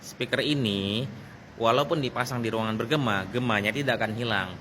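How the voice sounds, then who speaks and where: A man's voice: mid-range voice, fairly quick, sharp tone. A man talks in a mid-range voice, explaining things casually like a video host. He often repeats his phrases to drive a point home. There is some background noise around his voice. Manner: repeats phrases for emphasis, conversational. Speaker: sound technician and video host. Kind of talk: casual explanatory vlog talk.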